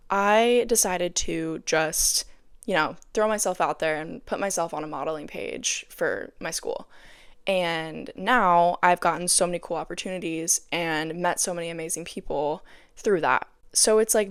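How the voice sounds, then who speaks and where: A young woman hosting her own podcast, talking casually and sounding tired, with a raspy voice and lots of "like" in her speech. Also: on the low side, no room sound, studio quality. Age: young adult. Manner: chatty, peppered with "like". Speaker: podcast host. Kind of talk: casual solo podcast chat.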